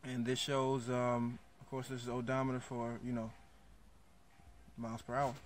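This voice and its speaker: A man talks through a sports car in an indoor showroom, his delivery flat and unhurried. He reads out specs with "uh" and "um" as he goes. A clear hum of air conditioning sits under the handheld recording. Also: mid-range voice. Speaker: car vlogger. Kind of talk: casual walkaround commentary.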